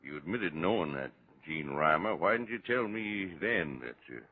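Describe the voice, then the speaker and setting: An actor playing a gruff, folksy police lieutenant in an old radio crime drama, pressing and authoritative. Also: male voice, sits low, expressive, normal speed. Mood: stern, probing. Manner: colloquial, interrogating, authoritative. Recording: noisy, tinny vintage radio recording.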